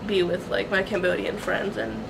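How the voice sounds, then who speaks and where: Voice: medium pitch, flat delivery, normal speed, creaky. A young person answering interview questions in an easygoing way, often laughing. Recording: distant mic with loud background noise.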